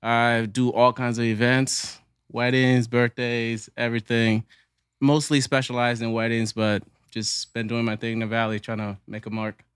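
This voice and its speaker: Calm young man with a smooth, flat voice. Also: mid-range voice, normal speed, no room sound, studio quality. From Liberia. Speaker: DJ guest. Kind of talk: casual podcast interview.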